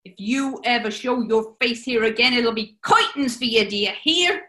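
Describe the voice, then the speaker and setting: A woman's voice: fairly high pitch, expressive, normal speed, bright, forceful tone. A woman playing a bossy male gangster club owner with a New York accent, menacing yet genial, in a 1940s radio comedy. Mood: threatening. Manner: bossy, smooth-talking. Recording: echoey room.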